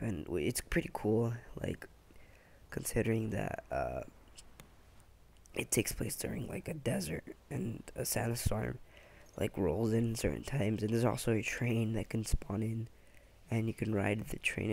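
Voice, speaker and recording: Young male host, voice nearly gone, gravelly and scratchy, rambling casual review. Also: lowish voice, darkish tone. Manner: rambling, many fillers and restarts. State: hoarse, lost voice. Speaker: gaming channel host.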